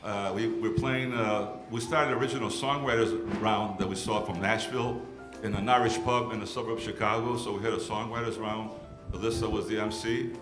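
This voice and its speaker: A man's voice: on the low side, steady tone, brisk, few pauses. An older man from Greece, a guest musician, chatting on a live music show in a rambling, restarting way. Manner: rambling, repeats words and restarts. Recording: roomy venue with music behind.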